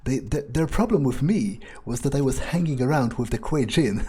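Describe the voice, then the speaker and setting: A man's voice: medium pitch, big pitch swings, measured pace, dark tone. A man playing a cocky, cruel, foul-mouthed vampire who muses aloud in a video game roleplay. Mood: gloating. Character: cocky, cruel male vampire player character, musing aloud. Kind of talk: in-character game roleplay monologue. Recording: clear background noise.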